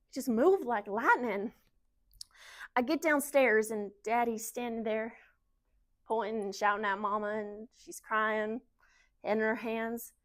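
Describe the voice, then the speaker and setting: Casting: woman playing naive young wife, slightly high voice, confiding and halting, emotional stage monologue. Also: lightly acted. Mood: frightened, urgent. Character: anxious young Southern wife, naive, defending her husband. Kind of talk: dramatic monologue in speech competition.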